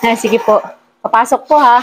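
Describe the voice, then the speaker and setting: A woman's voice: on the high side, moderate pace, background noise. A middle-aged woman giving a polite tour of her house under renovation, guiding the viewer courteously from room to room. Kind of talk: polite walkthrough narration.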